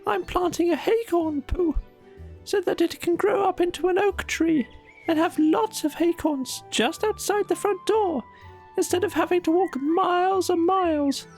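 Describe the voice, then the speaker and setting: A man voicing a small, timid young male piglet who stammers in a very high, squeaky voice. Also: a little slow, a little mellow, soft background music. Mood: eager.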